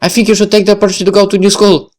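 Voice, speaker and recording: A man's voice: high voice, flat delivery, racing, a little mellow, pitched above the performer's own voice, natural voice. A man voicing a melancholy, resigned teenage girl in a girlish voice, reading visual novel dialogue aloud.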